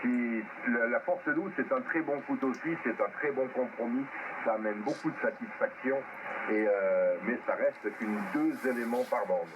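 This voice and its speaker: A man's voice: medium pitch, moderate pace. A man talking off the cuff as an amateur radio operator over a radio transmission, hesitant and repeating words for emphasis. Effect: ham radio transmission. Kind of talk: unscripted technical talk over amateur radio. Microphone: radio transceiver microphone. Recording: very noisy, narrow-band radio link.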